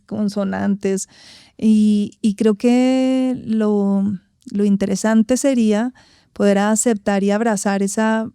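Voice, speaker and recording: A woman from Colombia with a Bogotá accent, a guest speaking thoughtfully in an interview, often pausing to find words and adding tag questions. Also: medium pitch, dark tone, clean tone, no room sound, clean studio sound. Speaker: guest, actress and vocal coach. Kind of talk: reflective interview talk.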